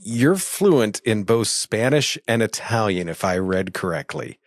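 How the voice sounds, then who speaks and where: A man's voice: fairly low pitch, expressive, a little slow, no echo. A man hosting a podcast interview, friendly and affirming, with hesitant fillers. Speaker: podcast host. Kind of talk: casual interview chat.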